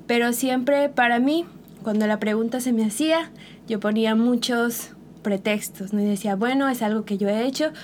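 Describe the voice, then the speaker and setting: Earnest woman, slightly high voice. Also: a little hiss or hum. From southern Mexico, Chiapas. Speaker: missionary guest. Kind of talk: personal testimony.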